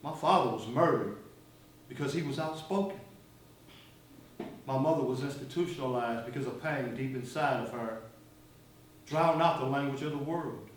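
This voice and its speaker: An actor playing a weary, outspoken activist in a stage play, brooding and intense. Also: male voice, medium pitch, moderate pace, long pauses, lightly acted. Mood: grieving. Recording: echoey, distant stage recording, faint hiss.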